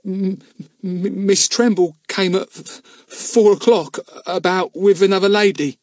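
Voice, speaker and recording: A man's voice: sits high, fairly even intonation, a little slow, darkish tone, dry recording, studio quality, pitched above the performer's own voice. A man voicing a frightened young male gardener who stammers and pleads. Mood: scared stammering.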